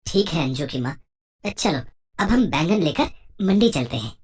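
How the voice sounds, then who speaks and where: A woman's voice: deep voice, lively intonation, normal speed, darkish tone, husky. A woman as an earnest village farmer, swinging intonation. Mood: earnest, pleased. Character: Bijju, poor village farmer man, puzzled, humble husband. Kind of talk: moral story cartoon dialogue.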